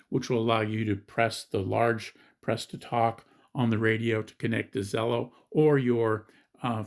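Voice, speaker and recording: Man with a creaky voice, demonstrating gadgets. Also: medium pitch. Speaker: gadget reviewer. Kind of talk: step-by-step app demonstration and product review.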